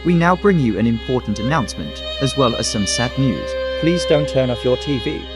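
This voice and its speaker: A man's voice: mid-range voice, animated, a little fast, over music. A man reading a scripted emergency announcement as a television continuity announcer.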